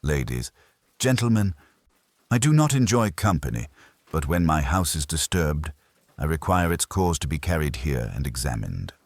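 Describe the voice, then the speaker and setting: A man with a husky voice voicing an imperious, pedantic detective in an audiobook, formal and pompous. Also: very deep voice, big pitch swings, moderate pace, dry recording, full character voice. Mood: stern, formal. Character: imperious, pedantic male detective, sparing and dry.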